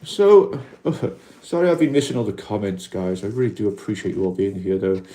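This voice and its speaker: Welsh man, mellow reflective livestream host. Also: fairly low pitch, expressive, moderate pace. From Wales. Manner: gentle, reflective, many "you know" fillers. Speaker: livestream host in an alien costume. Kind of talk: casual livestream chat reading comments.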